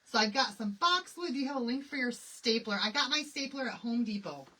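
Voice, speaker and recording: A woman's voice: a little high, lively intonation, brisk, sharp tone, rough recording. A woman with a Michigan accent hosting a live crafting tutorial, chatty and laughing often as she answers viewers. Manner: chatty, laughs often, answers chat questions while working. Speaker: craft livestream host.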